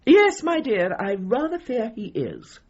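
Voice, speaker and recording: An imperious older lady dog trainer, speaks with grand, formal pomposity and swooping, animated intonation. She presses her point relentlessly in a gently comic radio play, on a slightly dull recording. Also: a little high, measured pace, full character voice. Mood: grave, pompous. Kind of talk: radio comedy drama acting.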